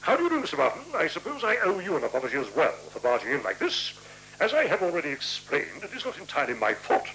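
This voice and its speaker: An actor playing a chummy, fussily polite newcomer in a 1970s radio play, with a chatty, coaxing, courteous manner. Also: male voice, fairly high pitch, wildly swooping pitch, quick pace, bright, forceful tone, faint music behind, exaggerated voice. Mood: apologetic. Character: chummy, fussily polite male newcomer making himself at home. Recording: noisy, humming 1976 radio recording.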